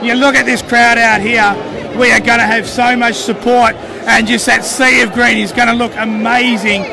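Croaky-voiced Australian host at a noisy crowd. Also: male voice, very high voice, little pitch movement, moderate pace. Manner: enthusiastic, rambling, repeats words. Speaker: fan show host. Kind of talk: excited live fan interviews. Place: outdoors at a crowded pre-game bar. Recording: loud crowd and music behind. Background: crowd noise and music.

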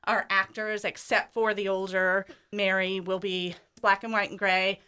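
A woman stage director talks as an interview guest, thoughtful and articulate. Her voice is bright and medium in pitch. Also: flat delivery, measured pace, clear, resonant tone. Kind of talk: explanatory interview talk.

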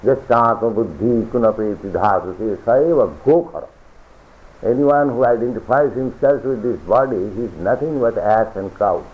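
A man giving a philosophical sermon as a spiritual teacher, lecturing deliberately, repeating phrases and reciting scripture. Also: mid-range voice. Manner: deliberate, repetitive lecturing with chanted scripture quotes. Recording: tinny lo-fi archival recording.

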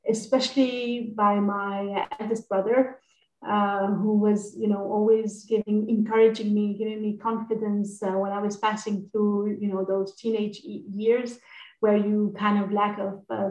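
A woman's voice: mid-range voice, little pitch movement, measured pace, a little mellow. A woman from Lebanon answers questions as a podcast guest. She speaks earnestly, with occasional fillers. Her voice sounds distant and roomy, as if she is a remote guest. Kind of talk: interview answers.

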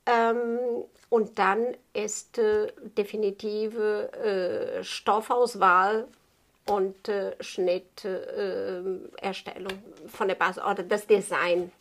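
A Portuguese woman in her fifties with a Portuguese accent, answering interview questions about her craft haltingly, searching for words in a language not native to her. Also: mid-range voice, flat delivery. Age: 50s. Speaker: tailor being interviewed.

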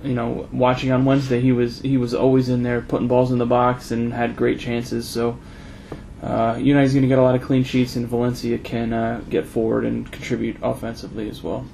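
A man co-hosting a casual fantasy football podcast, talking haltingly with many restarts. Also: medium pitch, completely flat delivery, gravelly, dark tone, a little hiss or hum. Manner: hesitant, many fillers and restarts. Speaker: podcast co-host.

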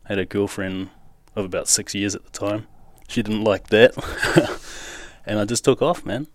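A man's voice: on the low side, measured pace, no echo, studio quality. A young man from New Zealand with a Kiwi accent, candidly telling his life story as a podcast guest in a casual, slangy way with nervous laughs.

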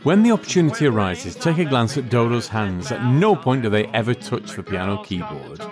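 A man's voice: medium pitch, big pitch swings, a little fast, over music. A man co-hosting a podcast, giving wry, opinionated commentary as an episode plays. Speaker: podcast co-host. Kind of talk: casual commentary while watching.

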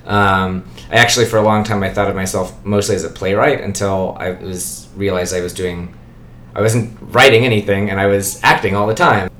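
A man's voice: lowish voice, fairly even intonation, normal speed, a bit bright. A man from Wyoming chatting casually as a podcast guest host, easygoing and trailing off. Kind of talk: casual chat. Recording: echoey room with faint noise.

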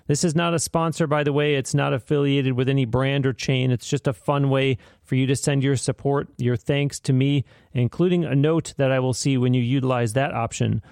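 A man hosting a podcast, reading an outro in an earnest, carefully enunciated, formal way with some gravel in his voice. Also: mid-range voice, steady tone, normal speed, few pauses, darkish tone, no room sound, clean studio sound. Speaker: podcast host. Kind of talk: scripted podcast outro narration.

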